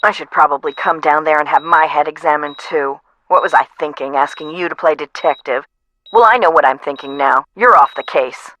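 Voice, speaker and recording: A woman's voice: deep voice, a little fast, fairly bright tone, no room sound, rough recording. An actress voicing a frazzled, no-nonsense woman who runs an amusement park in a video game, conversational and dry. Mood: exasperated, sarcastic. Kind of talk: scripted video game voice acting.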